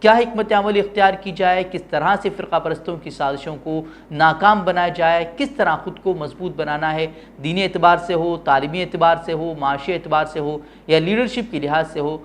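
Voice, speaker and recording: A man's voice: a little high, normal speed, hardly any pauses. A man presenting opinionated news with formal, literary diction. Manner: formal Urdu-heavy diction.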